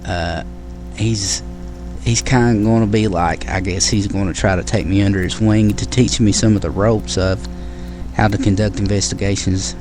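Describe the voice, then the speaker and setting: A man's voice: on the low side, steady tone, normal speed, over music, poor audio. A man hosting his own show, talking casually to listeners in a rambling way with many fillers and restarts. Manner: friendly sign-off to listeners. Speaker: podcast host. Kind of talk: casual solo show talk.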